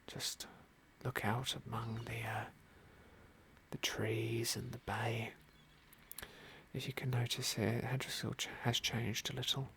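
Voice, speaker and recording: A British man narrating an ASMR game playthrough in a gentle, polite, understated manner. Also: medium pitch, flat delivery, takes it slowly, slight background noise. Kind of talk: whispered ASMR roleplay and commentary.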